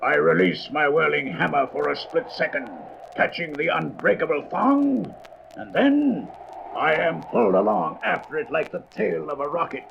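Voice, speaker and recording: An actor playing a mighty, resolute thunder god in a grandiose, declamatory comic book audio drama. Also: male voice, fairly high pitch, lively intonation, moderate pace, creaky. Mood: bold, determined. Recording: noisy, dull old record with clicks.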